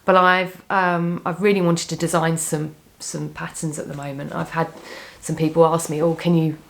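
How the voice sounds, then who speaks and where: A woman with a cold, sounding a little croaky, chatting casually to camera about her knitting plans, rambling with frequent restarts. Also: deep voice, flat delivery, normal speed. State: has a cold, slightly croaky. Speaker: knitting vlogger.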